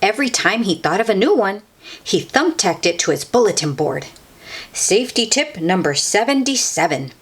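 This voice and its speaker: A woman reading a picture book aloud to children in an expressive way, drawing out words and adding chatty asides for young listeners. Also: lowish voice, husky.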